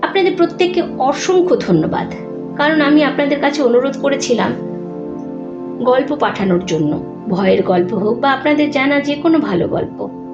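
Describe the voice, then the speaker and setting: A woman's voice: high voice, animated, normal speed, over music. A woman storyteller narrating a horror story in a dramatic style. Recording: roomy, dull sound with clear noise.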